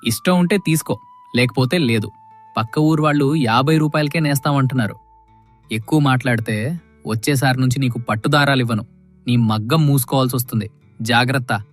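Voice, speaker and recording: A man's voice: medium pitch, fairly quick, soft background music, close to an everyday voice. A man playing an arrogant, miserly rich landlord. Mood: threatening. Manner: dismissive, bullying, cunning. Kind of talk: audio moral story acting.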